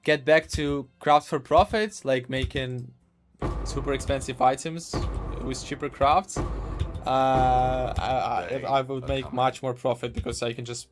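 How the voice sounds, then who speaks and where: A man from Ukraine, casually commentating a live game stream, halting and repeating words as he talks. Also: medium pitch.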